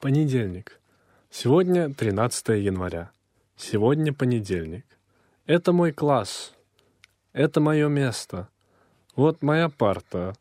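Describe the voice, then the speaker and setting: A man reading a textbook language lesson aloud, slowly and with careful, clear enunciation. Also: mid-range voice, sing-song, a little mellow, dry recording, studio quality. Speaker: language course reader.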